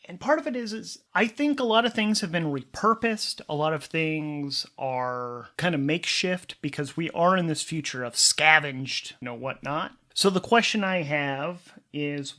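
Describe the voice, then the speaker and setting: A man's voice: on the high side, fairly bright tone. A man casually narrating the setup of a solo tabletop game, chatty and informal, with self-deprecating asides. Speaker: solo tabletop game show creator and performer.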